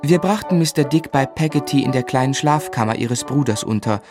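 Man acting an earnest young gentleman, faint music. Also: mid-range voice, fairly quick, natural voice. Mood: reflective narration. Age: young adult. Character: earnest, lovestruck young man narrating his life. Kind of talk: narration and dialogue in radio play.

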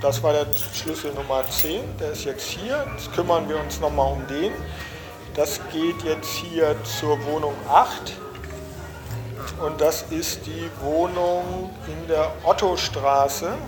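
A man's voice: on the high side, slow, reverberant, music in the background. A man working as a receptionist, explaining things casually and matter-of-factly to guests. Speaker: hotel receptionist. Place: echoing hotel lobby reception.

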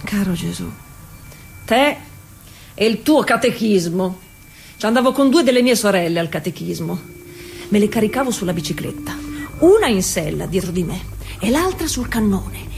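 A woman's voice: mid-range voice, expressive, normal speed, gravelly, long pauses, noisy background. An actress playing a feisty, devout working-class woman cyclist, confiding in Jesus in a colloquial stage monologue, repeating words insistently. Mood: animated, rising. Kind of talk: theatrical monologue, intimate and colloquial.

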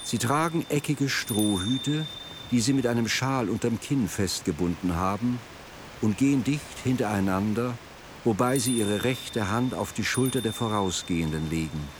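A man reading literary narration for a radio play in a measured, formal way. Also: on the low side, background noise.

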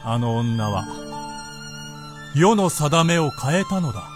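An imperious high-pitched feudal warlord. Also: male voice, expressive, takes it slowly, over music. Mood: brooding, conversational. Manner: archaic lordly speech, abrupt orders. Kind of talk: Japanese radio drama acting.

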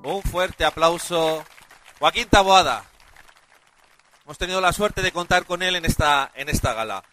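A man's voice: fairly high pitch, normal speed, pushed and bright, soft background music. A man presenting a gala, announcing the next musician with upbeat introductions. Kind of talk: stage announcing.

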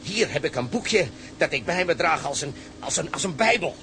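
An actor playing a fiery socialist ship's officer in a radio drama, speaking in an impassioned, conspiratorial, rhetorical way. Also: male voice, on the high side, expressive, moderate pace, pushed and bright, slight background noise. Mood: zealous.

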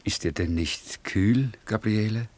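An actor playing a self-assured, formal middle-aged professor in a German radio drama. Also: male voice, fairly low pitch, unhurried, darkish tone. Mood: solicitous. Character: self-satisfied, orderly male professor and husband.

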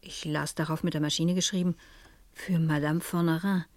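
An actress playing a composed, persuasive French madame who speaks and narrates in a measured way in a radio crime drama. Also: female voice, deep voice, fairly even intonation, a little mellow, lightly acted. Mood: reflective narrating. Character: composed, persuasive French madame recounting her own mystery.

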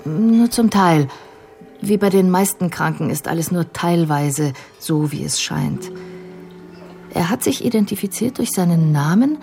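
Low, creaky-voiced, composed female care professional. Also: lively intonation, dark tone, faint music behind. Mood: calm, matter-of-fact. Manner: measured, analytical, explanatory. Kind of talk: radio drama dialogue.

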